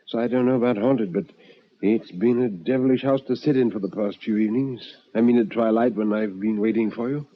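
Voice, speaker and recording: Man playing a nervy, husky housemate, easily rattled. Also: fairly low pitch, highs cut off, natural voice. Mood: nervy, confessing. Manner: halting, anxious, protesting. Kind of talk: dramatic ghost-story dialogue.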